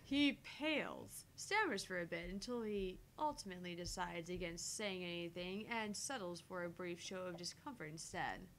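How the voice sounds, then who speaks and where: A woman voicing a sharp-tongued, sardonic heroine in a dry, taunting and theatrical read-aloud of a visual novel. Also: lowish voice, moderate pace, few pauses, low-quality recording. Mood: dry amusement. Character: sharp-tongued sardonic woman narrating her thoughts, teasing and haughty.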